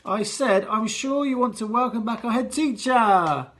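A man voicing a proper, fussy male deputy head who speaks primly and softly. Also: high-pitched, moderate pace, vocal fry. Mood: formal. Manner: prim, softly spoken.

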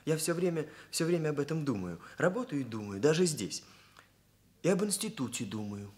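An actor playing an earnest, proper young hairdresser in a radio play, with precise, bookish phrasing. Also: male voice, on the high side, very animated, a little fast, darkish tone. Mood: earnest, formal. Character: earnest, self-improving young male hairdresser, proper and stiff. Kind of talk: Soviet radio play acting. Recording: radio play, violin music behind.

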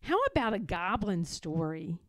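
A woman's voice: lowish voice, big pitch swings, a little slow, a bit bright, dry recording, clean studio sound. A woman voicing an eager, playful little male bear cub in a children's storybook, childlike and earnest.

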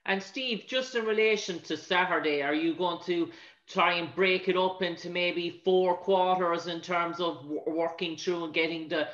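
A man's voice: high-pitched, fairly even intonation, normal speed, a bit bright. A man, a reporter, asking long winding questions at a press conference over a video call. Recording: echoey, dull lo-fi line.

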